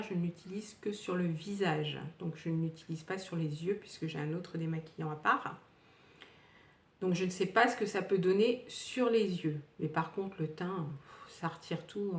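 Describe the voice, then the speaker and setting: A woman in her fifties reviewing used-up beauty products in a casual, rambling way, with many fillers and restarts. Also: fairly low pitch. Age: 50s. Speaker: beauty vlogger. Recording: echoey room, distant from the mic.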